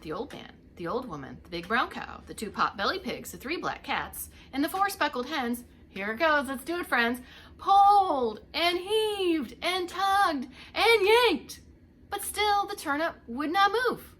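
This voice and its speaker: A woman's voice: high voice, sing-song, unhurried, a bit bright. A woman reading a story aloud to young children like a preschool teacher, theatrically drawing out the action words and talking to her listeners.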